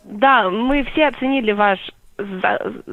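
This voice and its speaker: A woman answering a phone call, patient and deadpan, keeping a dry tone. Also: medium pitch, slow, crowd noise. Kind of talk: phone conversation.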